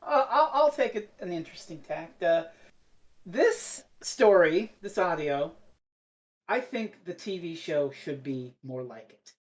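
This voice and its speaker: A woman with a low voice talks through her opinions on a review podcast. She is blunt and casual, swears, and halts now and then to find her words. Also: animated, measured pace.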